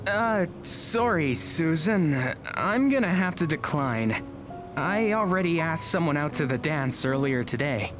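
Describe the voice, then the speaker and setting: A woman's voice: deep voice, expressive, measured pace, music in the background. A woman playing a shy, polite teenage schoolboy in an audio drama, hesitant and prone to stammering. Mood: awkward, apologetic.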